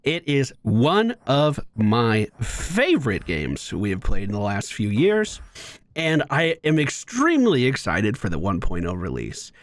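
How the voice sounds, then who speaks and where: A man's voice: medium pitch, huge pitch swings, a little slow, no echo. A man hosting a gaming channel, giving upbeat, playful live commentary as he plays. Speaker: gaming host.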